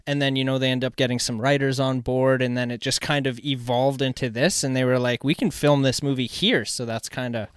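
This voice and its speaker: A Canadian man hosting a podcast, telling rambling, slangy stories in an excitable, casual way. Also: mid-range voice, a little fast, hardly any pauses, clear, resonant tone, no echo. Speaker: podcast host. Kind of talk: casual chat, storytelling.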